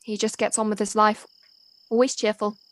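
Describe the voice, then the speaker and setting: A woman's voice: medium pitch, level tone, normal speed, no echo, close to an everyday voice. A woman acting in an audio play as a young local daughter, loyal, polite and matter-of-fact, friendly but a little guarded. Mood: confiding, matter-of-fact. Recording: faint noise, home personal-device recording.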